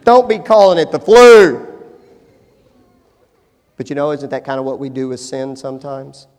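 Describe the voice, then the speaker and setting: A middle-aged male pastor preaching a sermon, shifting from hushed, slow stretches to emphatic, rising appeals. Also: medium pitch, lively intonation. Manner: dynamic, swings from hushed to emphatic. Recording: long hall reverb.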